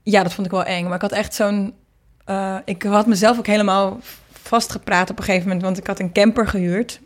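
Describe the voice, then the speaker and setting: A woman's voice: mid-range voice, steady tone, brisk. Woman chatting in an interview, loose storytelling, acts out who said what. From Netherlands. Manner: informal, anecdotal, re-enacts dialogue. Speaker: singer and producer, podcast guest.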